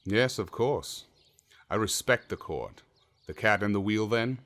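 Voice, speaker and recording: Man playing a low-voiced, unruffled period gentleman. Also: animated, unhurried. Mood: agreeable. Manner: urbane, wry, self-assured. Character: cunning, unruffled wealthy merchant gentleman accused of witchcraft. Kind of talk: audio drama acting in period speech.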